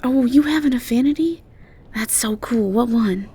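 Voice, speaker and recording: A woman's voice: fairly high pitch, moderate pace, dark tone, slightly rough. A woman playing a sweet, ditzy young university student with a Southern US accent in an audio roleplay. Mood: bubbly, curious. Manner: chatty, eager, naive.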